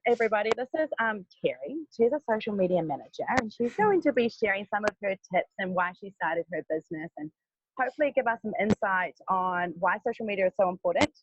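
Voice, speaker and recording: A woman's voice: mid-range voice, lively intonation, fast talker, lo-fi sound. A woman hosting a casual interview, friendly and a little hesitant, with many "um"s. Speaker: interviewer, host.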